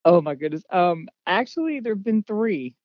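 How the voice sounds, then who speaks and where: A woman guest in a casual podcast interview, chatting warmly and laughing easily. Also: medium pitch, big pitch swings, moderate pace, no room sound, dull audio. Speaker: guest actress.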